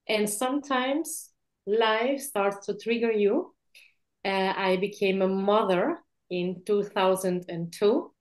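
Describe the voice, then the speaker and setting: Belgian woman in her 40s, english, chatty and warm, asks "yeah?" a lot, video call. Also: medium pitch. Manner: warm, lively storytelling, adds "yeah?". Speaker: guest speaker. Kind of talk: online interview, personal story.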